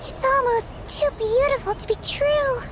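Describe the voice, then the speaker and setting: A woman voicing a small, bubbly, money-minded fairy companion with a squeaky childlike voice in a video game. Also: fairly even intonation, normal speed, background noise. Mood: awed. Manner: bubbly, exclaiming, refers to self by name. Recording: music behind the voice.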